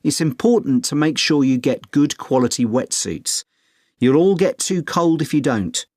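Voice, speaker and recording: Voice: mid-range voice, lively intonation, moderate pace, darkish tone, no room sound, studio quality. A speaker in a scripted exam dialogue, explaining costs and tips in a friendly way. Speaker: surfing adviser in dialogue. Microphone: studio microphone, dry.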